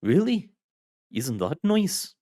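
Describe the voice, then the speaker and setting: A man's voice: on the high side, sing-song, takes it slowly, mellow, no echo, studio quality, voice pushed up, heavily acted. A man voicing a big, jolly, bearded pub landlord with an Irish accent, friendly and teasing.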